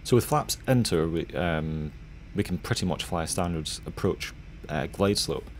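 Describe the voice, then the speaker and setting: Low-voiced young man. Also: lively intonation, moderate pace, a little hiss or hum. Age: young adult. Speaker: pilot narrating his flight. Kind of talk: casual voice-over commentary.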